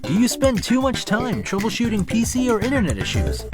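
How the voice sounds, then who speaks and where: An announcer reading a scripted ad in a bright, salesy way. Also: medium pitch, wildly swooping pitch, over music. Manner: bright, salesy, reads number slowly. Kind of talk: scripted radio ad.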